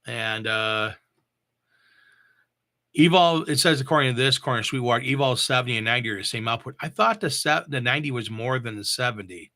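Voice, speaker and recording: Bright-voiced man, halting casual gear talk. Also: mid-range voice. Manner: halting, repeats and thinks aloud while reading specs. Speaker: mobile DJ reviewing audio gear. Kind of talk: casual unscripted product talk.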